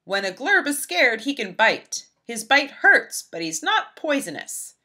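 A woman reading a children's picture book aloud in an expressive, emphatic storybook style. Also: fairly high pitch, a little slow. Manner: animated, emphatic, storybook delivery.

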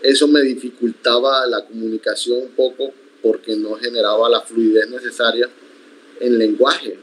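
Young man, first language Iku, measured reflective speech, frequent restarts, video call from home. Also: medium pitch, little pitch movement, a bit bright, poor audio. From Sierra Nevada de Santa Marta, Colombia. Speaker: interview guest, accordionist. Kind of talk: conversational interview. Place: home room, indoors.

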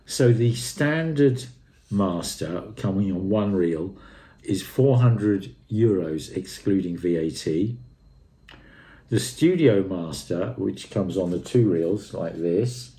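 A man's voice: low voice, mellow. A man in his sixties giving an unscripted, effusive and emphatic review to camera, often repeating phrases for weight. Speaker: audio equipment and music reviewer. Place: room at home, indoors. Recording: roomy, echoey indoor sound.

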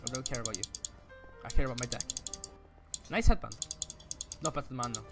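A young man improvising as a bossy, excitable student duelist in a game roleplay, often repeating his phrases. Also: medium pitch, fast talker, natural voice. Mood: eager. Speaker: player in a Minecraft roleplay. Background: music.

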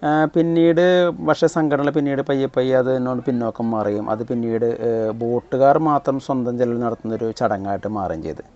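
A man narrating a casual vlog, often halting to search for words. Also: medium pitch, mellow, lo-fi sound. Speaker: vlogger. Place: outdoors on a seaside breakwater at night. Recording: noisy outdoor phone recording.

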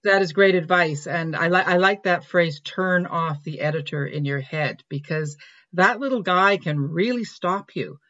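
A woman's voice: fairly low pitch, moderate pace, fairly bright tone, highs cut off. A woman hosting a podcast interview, chatty and affirming as she talks. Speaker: podcast host. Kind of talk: interview conversation.

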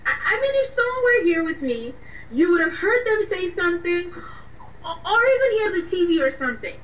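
Radio drama: anxious young Nigerian student, stammering and pleading, heard a bit far off in a dull recording. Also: female voice, extremely high pitch, sing-song, a little fast. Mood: flustered. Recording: dull, echoey, distant, slightly noisy.

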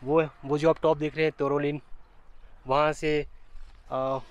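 A man's voice: a little high, flat delivery, a little slow, lo-fi sound. A man in his thirties, a travel vlogger chatting casually to a handheld camera outdoors in the mountains, explaining what he sees. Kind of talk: casual travel vlog narration. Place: outdoors in mountain valleys and a memorial park. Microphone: handheld camera at arm's length.

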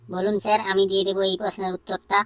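Woman doing a dopey cheeky school lad in a funny cartoon, talks quick, dry sound. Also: medium pitch, flat delivery, no room sound, natural voice. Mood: eager, cheeky. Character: cheeky, dim-witted schoolboy with silly literal answers. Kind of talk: comedy cartoon dialogue.

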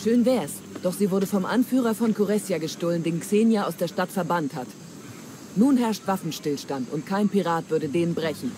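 An actress playing a wily, flattering pirate treasure hunter in a dramatic German game dub, with theatrical, coaxing delivery. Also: female voice, lowish voice, moderate pace, close to an everyday voice. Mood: troubled. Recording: clear ambient noise.

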